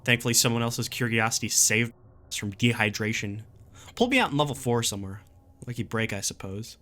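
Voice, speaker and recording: A man playing a jaded, gruff, exasperated wanderer recording a survival warning, in a sardonic and emphatic dramatic horror reading. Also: medium pitch, animated, a little fast, pushed and bright. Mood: wry, incredulous. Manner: sardonic, emphatic, colloquial grumbling.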